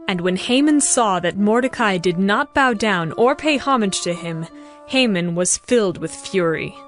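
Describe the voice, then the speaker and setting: A woman narrating scripture from a script as a measured, formal storyteller in a dramatized audiobook. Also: mid-range voice, animated. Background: music.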